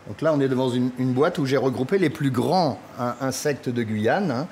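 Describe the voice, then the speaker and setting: A man with a mid-pitched voice talks as a guide to a collection. He explains in spontaneous interview style, listing points as he goes. Some ambient noise sits behind him. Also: moderate pace, a little mellow. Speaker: insect collector and exhibition guide. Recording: clear background noise, natural ambience.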